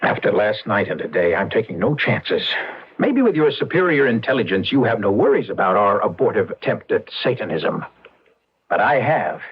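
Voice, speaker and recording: Impatient suspicious occult dabbler, really raspy, lively pitch, old radio drama. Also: male voice, normal speed, exaggerated voice. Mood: agitated, demanding. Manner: blunt, impatient, demanding. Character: agitated, suspicious man who dabbles in Satanism. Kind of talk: radio mystery drama acting. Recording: dull old radio recording.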